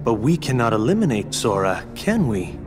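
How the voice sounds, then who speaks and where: An actor playing a calculating, disapproving member of a secret organization in a video game, with measured, formal, theatrical delivery. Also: male voice, medium pitch, big pitch swings, close to an everyday voice. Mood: scheming. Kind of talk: dramatic video game voice acting. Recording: ominous music behind.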